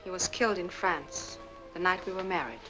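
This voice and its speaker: An actress playing a troubled, demure young French widow in a 1940s Hollywood film. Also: female voice, on the low side, normal speed, natural voice, low-quality recording. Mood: troubled, sorrowful. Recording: old film soundtrack, music behind.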